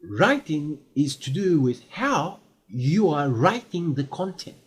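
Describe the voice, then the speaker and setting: A man talking through a screen-recorded software tutorial as an instructor, in an explanatory way with fillers and quick checks for understanding. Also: on the high side, sing-song, slightly rough.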